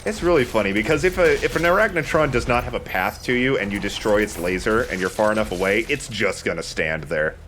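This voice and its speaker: A man's voice: fairly low pitch, expressive, quick pace, few pauses, fairly bright tone, a little hiss or hum. A man giving casual gameplay commentary as a let's play host.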